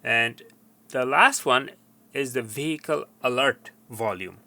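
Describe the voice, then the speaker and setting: A man giving an informal technical tutorial, explaining step by step in a somewhat halting way. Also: medium pitch, bright, forceful tone, no room sound. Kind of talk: informal how-to instruction.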